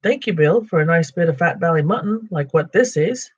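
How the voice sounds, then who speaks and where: A man voicing a big, dim, drunk male troll who talks rough and slangy. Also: fairly high pitch, lively intonation, highs cut off. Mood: gruff. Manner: rough slangy talk, slow and blustering.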